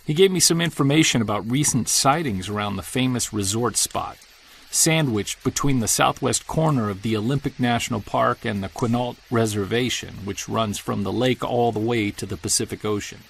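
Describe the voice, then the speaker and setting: An actor playing a wistful separated man who hunts Bigfoot, narrating his audio-drama field journal in a creaky, conversational, earnest voice. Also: medium pitch, moderate pace, slight background noise, lightly acted. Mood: earnest, reflective.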